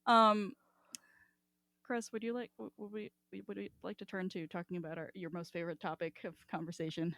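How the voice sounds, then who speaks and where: A woman's voice: lowish voice, flat delivery, fairly quick, fairly bright tone, no room sound. Woman chatting casually, very dry sound. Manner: sweary, informal, full of emphatic asides. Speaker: podcast co-host. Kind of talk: casual book discussion, animated chat.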